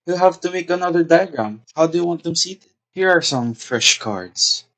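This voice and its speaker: A woman's voice: on the low side, lively intonation, moderate pace, fairly bright tone. A woman playing a hostess's timid, dutiful young daughter in a comic stage play, meek and reasonable. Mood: helpful.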